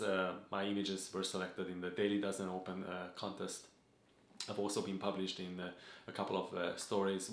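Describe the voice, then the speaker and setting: A man, earnestly introducing his photography channel from a home office, with frequent filler pauses. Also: sits low, fairly bright tone, echoey room. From Hungary. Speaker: photography vlogger. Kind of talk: talking-head channel introduction. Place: home office room with desk and gaming chair.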